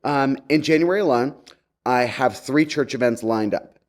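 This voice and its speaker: A man's voice: mid-range voice, moderate pace. Chatty, wry man speaking to a congregation. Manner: chatty, wry, campy slang. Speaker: guest speaker and author. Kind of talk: conversational sermon message to a congregation.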